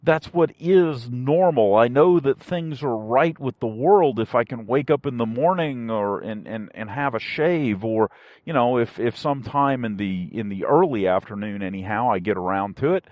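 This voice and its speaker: A man talks alone as a casual podcast host, rambling and often stopping to search for the next word. His folksy delivery moves up and down in a lively way. The recording is dry and close, with a slightly dull, lo-fi sound. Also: mid-range voice, no room sound. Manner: halting, rambling, searching for words. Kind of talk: casual solo podcast monologue.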